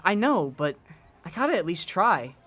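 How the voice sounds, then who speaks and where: An eager 1920s boy with very lively intonation. He is earnest and worried, tripping over his words when pressed, in old-time radio drama style. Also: lowish voice, normal speed. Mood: anxious, determined. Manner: hesitant, stammering when pressed.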